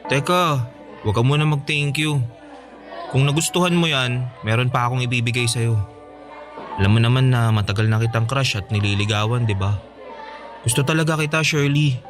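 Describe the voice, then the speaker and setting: A man's voice: mid-range voice, normal speed, pauses often, lightly acted. A man playing an earnest, lovestruck teenage schoolboy who courts persistently and pleadingly in a radio romantic comedy drama. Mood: eager, tender. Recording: background music under dialogue.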